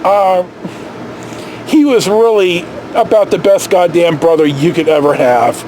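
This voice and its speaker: Middle aged american guy, kinda high voice, rambling sweary storytime into his camera, noisy background. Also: a little slow. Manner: salty, sweary, animated reminiscing. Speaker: storyteller, vlog host. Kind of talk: casual rambling personal storytelling. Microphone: camera held at arm's length.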